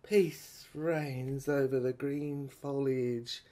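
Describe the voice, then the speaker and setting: A man narrating a serial audio drama in a poetic, brooding style, with halting phrases and pauses. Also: medium pitch, fairly even intonation, warm tone.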